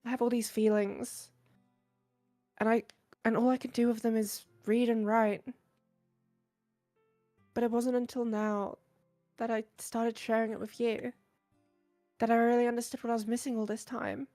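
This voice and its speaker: Casting: female actor as insecure, soft-spoken bookworm girl, visual novel game, slightly high pitch, halting earnest delivery. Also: level tone, soft background music, close to an everyday voice. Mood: earnest, vulnerable. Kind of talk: visual novel character voice acting.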